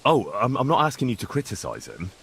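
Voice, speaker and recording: An actor playing an earnest, persistent young squire with a husky voice in a medieval video game. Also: male voice, mid-range voice, huge pitch swings, fast talker, fairly bright tone, exaggerated voice. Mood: curious. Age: young adult. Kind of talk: video game dialogue acting.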